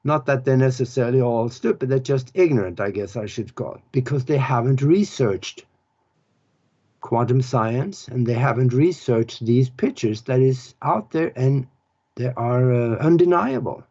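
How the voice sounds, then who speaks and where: A man from Norway. He explains spiritual ideas in an earnest, halting way, pausing often as he searches for words. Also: mid-range voice, expressive, mellow. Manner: unhurried, earnest, halting with pauses. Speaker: author and spiritual teacher. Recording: dull lo-fi recording.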